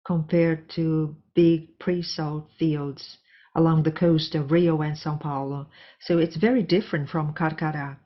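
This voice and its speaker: A woman interpreting live on a corporate earnings call, speaking phrase by phrase with occasional self-corrections. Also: low voice. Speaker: simultaneous interpreter. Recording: dull, lo-fi conference call audio.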